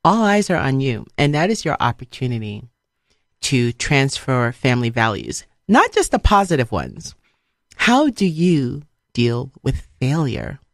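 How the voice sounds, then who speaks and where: A woman's voice: very deep voice, wildly swooping pitch, a little slow, dry recording, studio quality. A middle-aged woman from Liberia giving a motivational radio talk, stressing points emphatically with repeated phrases. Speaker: radio show host, speaker.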